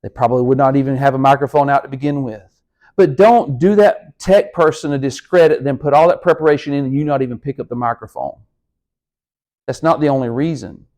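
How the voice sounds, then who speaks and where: A man's voice: medium pitch, fairly quick, darkish tone. A man lecturing his class as a speech instructor, talking in an encouraging, conversational way straight to the students. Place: room with a lectern.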